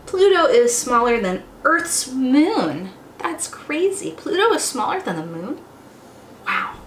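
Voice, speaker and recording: Young woman, children's storytime read-aloud, slow pace, expressive and exclamatory, roomy echo. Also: high-pitched. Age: young adult. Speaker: children's storytime teacher and host. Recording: roomy echo, faint background noise.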